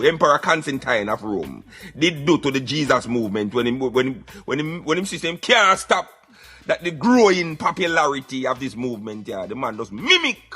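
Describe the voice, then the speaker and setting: A man's voice: a little high, bright, forceful tone, husky, full character voice. A man playing an opinionated Jamaican radio commentator, lecturing emphatically in patois and repeating words for stress. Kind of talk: satirical social commentary in patois.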